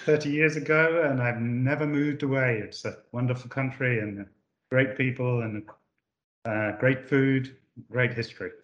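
A man's voice: medium pitch, steady tone, measured pace, echoey room, dull audio. A man from the UK, a managing director answering interview questions with many fillers and restarts. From United Kingdom. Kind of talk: corporate podcast interview.

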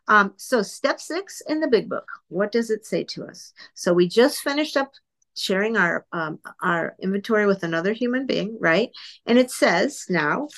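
A woman in her fifties giving an earnest, candid, self-deprecating recovery share to a group over an online call from her computer. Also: mid-range voice. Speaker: speaker sharing at a twelve-step meeting.

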